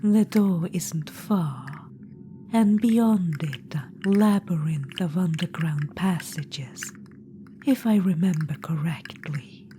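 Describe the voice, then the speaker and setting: A woman's voice: lowish voice, slow, slight background noise. A woman whispering as a haughty witch-queen in an orb. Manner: soft whispering, regal and condescending. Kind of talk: ASMR audio roleplay.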